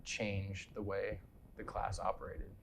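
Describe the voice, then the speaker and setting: A man's voice: lowish voice, level tone, a little slow, vocal fry, a bit bright, slight background noise. A man hosting a morning show, interviewing a guest in a friendly, easygoing way. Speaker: TV show host.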